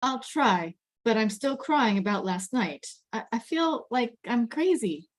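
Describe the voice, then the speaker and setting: Anxious, touchy boy, higher voice, woman acting. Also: lively intonation, unhurried.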